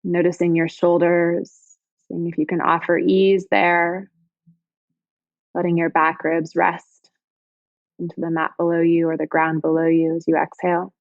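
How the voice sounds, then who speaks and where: Female meditation guide, lower register, soothing and unhurried, very dry and somewhat muffled lo-fi sound. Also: completely flat delivery, no echo. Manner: soothing, gentle, unhurried phrasing with pauses. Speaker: yoga teacher guiding meditation. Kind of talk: guided body scan meditation.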